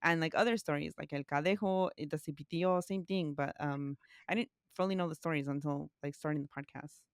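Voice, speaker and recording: A woman with a notably low voice talks in a loose, chatty way, leaning on "like" as she tells her stories. The sound is close and dry, with almost no room around it. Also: a little fast, no echo. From California, US. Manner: chatty storytelling, frequent "like" fillers. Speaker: podcast guest. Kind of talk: casual conversation.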